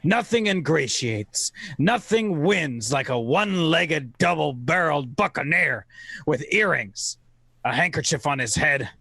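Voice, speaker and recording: A man narrates in the style of old-time radio theater, wry and oratorical. His voice is gravelly and sits a touch high, with very little room around it. He places his phrases deliberately. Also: animated, pushed and bright, no room sound.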